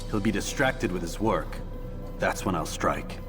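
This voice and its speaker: Cocky young assassin, low-ish and terse, cold and proud, game dialogue over music. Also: male voice, measured pace. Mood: cool, determined. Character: proud, curt young male assassin, coldly determined. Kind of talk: video game voice acting.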